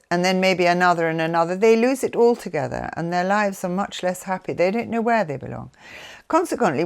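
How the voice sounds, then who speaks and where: Low-pitched English woman, earnest and deliberate. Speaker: speaker. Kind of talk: informal talk with reading aloud from written notes.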